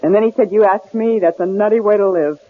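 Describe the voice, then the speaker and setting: A woman's voice: medium pitch, phone-quality sound, lightly acted. A woman playing an anxious, introspective wife in an old-time radio drama, speaking in a hesitant, earnest way. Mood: halting confession. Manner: hesitant, earnest, halting delivery. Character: anxious, introspective young wife held captive, wanting independence. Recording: hissy, band-limited old radio drama recording.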